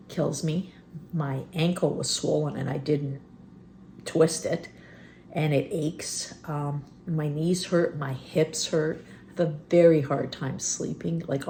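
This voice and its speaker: A woman in her fifties talks to camera in a loose, rambling way, full of fillers and pauses. Her low, gravelly voice sounds phlegmy, and she keeps clearing her throat. A faint hiss sits under the recording. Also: mellow. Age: 50s. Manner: rambling, candid, many fillers. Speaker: vlogger. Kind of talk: unscripted personal vlog. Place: rural homestead.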